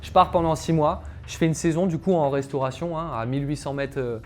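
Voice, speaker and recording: A man's voice: a little high, a little fast, slight background noise. A young man, a calisthenics coach, telling his personal story to camera in a casual, chatty way, with lots of fillers and asides to viewers. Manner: chatty, filler-heavy, addresses viewers directly.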